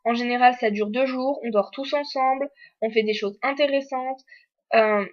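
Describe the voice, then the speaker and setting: A woman's voice: on the high side, fairly even intonation, moderate pace, muffled recording. A teenage girl from France, an exchange student, chatting candidly to the camera with many fillers. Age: teens. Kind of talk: casual chat to camera.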